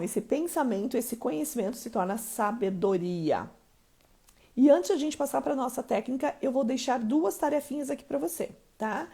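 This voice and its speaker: Woman coach from Mato Grosso do Sul, Curitiba accent, emphatic and checking in with viewers; husky, slightly high. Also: mellow. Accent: Curitiba Portuguese. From Mato Grosso do Sul, Brazil. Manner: emphatic, keeps checking in with listeners. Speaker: live-stream coach. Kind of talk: motivational live-stream teaching.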